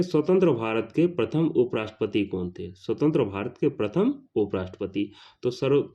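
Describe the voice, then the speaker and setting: A man's voice: medium pitch, dark tone. Man teaching, dull lo-fi recording. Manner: didactic, repeats phrases for emphasis. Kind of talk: exam-prep lesson, reading questions and giving answers.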